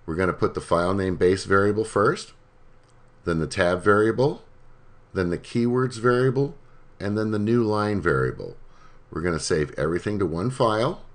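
Low-voiced guy narrating a software screencast, step by step. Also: moderate pace. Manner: plain step-by-step instruction. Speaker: software tutorial presenter. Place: voice-over over screen recording.

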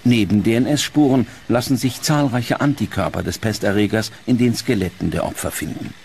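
A man reading scripted documentary narration in standard German, with a measured, grave delivery. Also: fairly low pitch, creaky, a little mellow, slight background noise. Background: background music.

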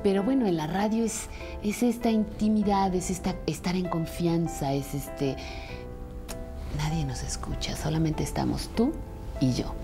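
A woman's voice: lowish voice, measured pace, music in the background. A middle-aged woman with a Mexican accent, a radio host speaking reflectively in an interview. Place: indoor sitting room.

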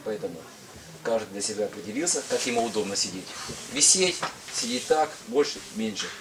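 A man's voice: lowish voice, expressive, brisk. A middle-aged man giving an explanatory safety talk as an instructor, emphatic and repeating his key points. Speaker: parachute instructor. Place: indoor equipment hangar with small audience. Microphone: camera some metres away. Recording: distant mic, background group noise.

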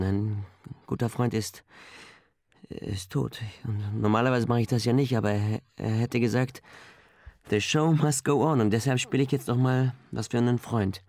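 Actor as grieving late-night radio host, dry sound. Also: male voice, mid-range voice, darkish tone, no room sound, natural voice. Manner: halting, emotional. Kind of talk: German radio drama acting.

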